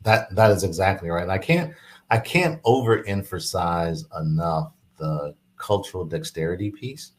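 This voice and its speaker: A man who is a business executive guest in an interview, explaining steadily with many fillers and quick affirmations. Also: low-pitched, lively intonation. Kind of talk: casual interview chat.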